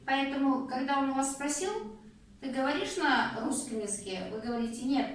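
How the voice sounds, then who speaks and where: Patient woman teacher in an echoey room. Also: high-pitched. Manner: patient, guiding, slow clear prompts. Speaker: Russian language teacher. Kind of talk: one-on-one language lesson. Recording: echoey room, distant mic, faint noise.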